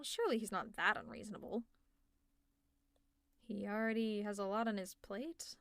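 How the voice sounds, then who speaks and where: Woman doing a teasing villain lady, kinda high voice, worried and bossy, dry close mic. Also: dry recording. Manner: teasing, exasperated and concerned. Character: teasing caring female villain, young adult. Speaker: ASMR roleplay creator. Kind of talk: audio roleplay as a villain speaking to a listener.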